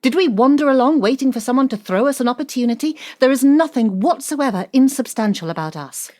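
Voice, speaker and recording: A woman's voice: high-pitched, animated, fairly quick, a little mellow. An actress playing a proud, controlling middle-aged woman banker in an audio drama, assertive and dismissive. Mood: scornful, angry.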